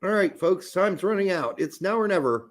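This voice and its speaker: Excitable joking man, somewhat high voice. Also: moderate pace. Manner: crude joking, excitable play calling. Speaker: watch-along co-host. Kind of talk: casual live watch-along banter with play-by-play.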